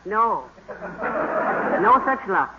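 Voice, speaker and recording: A woman playing a patient housewife who answers her husband with dry, deadpan wisecracks. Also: mid-range voice, drawn-out words. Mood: wry. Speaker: long-suffering wife. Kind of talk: 1950s radio sitcom acting. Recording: dull old radio broadcast with hiss.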